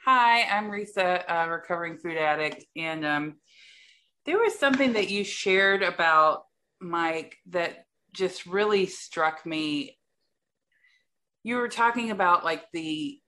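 A woman's voice: fairly low pitch, sharp tone. Blunt woman w a big voice, candid share on a video call, talks straight and swears. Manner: direct, blunt, swears freely. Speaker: support group member sharing. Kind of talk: candid personal share in a group meeting.

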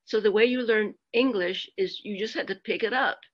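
A woman's voice: mid-range voice, moderate pace. Older woman sharing her story at a meeting, plain and frank, a bit muffled, like a video call. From United States. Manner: candid, plain-spoken storytelling with self-deprecating humor. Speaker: meeting speaker. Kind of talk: personal share at a support meeting.

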